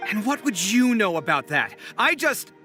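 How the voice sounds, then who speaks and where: Kaveh, high-pitched, dramatic young man. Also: normal speed, soft background music, full character voice. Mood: lamenting, indignant. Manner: theatrical, expressive, plaintive. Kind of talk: video game voice acting.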